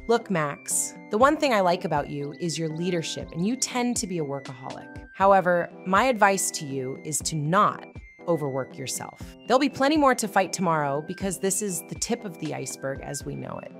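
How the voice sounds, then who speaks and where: Woman acting a creaky, low-voiced young heroine leader. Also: lively intonation, a little fast, a bit bright. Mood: earnest, encouraging. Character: Anne, confident, caring young woman leading a hero team. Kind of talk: cartoon comic dub acting. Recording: music playing under the voice.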